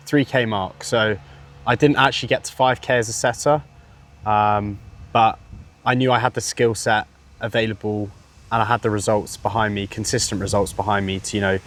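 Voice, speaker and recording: A twenty-year-old man answering interview questions in a confident, easygoing way, peppering his talk with "you know". His voice is a little lower than average. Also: a bit bright. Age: 20s. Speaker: interview guest, sales closer. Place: villa, indoors.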